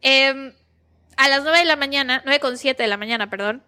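A woman's voice: sits high, normal speed, sharp tone. A young Mexican woman with a chatty, slangy way of talking, casually telling a true-crime story as a podcast co-host. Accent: Mexican Spanish. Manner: chatty, slangy, laughs between lines.

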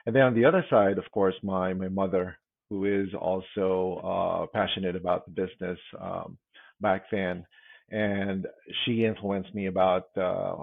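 Deep gravelly man on a tinny line. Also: no room sound. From Philippines. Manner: halting, many fillers and restarts. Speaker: guest, security executive. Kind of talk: casual interview answers. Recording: tinny phone-like remote line.

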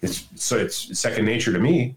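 A man's voice: on the low side, normal speed. An older man, a podcast guest, chatting casually in a folksy, opinionated storytelling way. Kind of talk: casual conversation. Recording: roomy echo.

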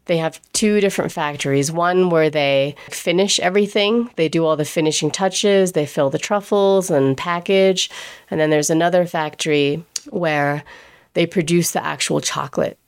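A woman's voice: low voice, moderate pace, clear, resonant tone. A woman who grew up in England, a pastry chef, telling her own story in a chatty way, quoting the people she met and laughing often. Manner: chatty, laughs often, quotes others in dialogue. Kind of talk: personal storytelling monologue.

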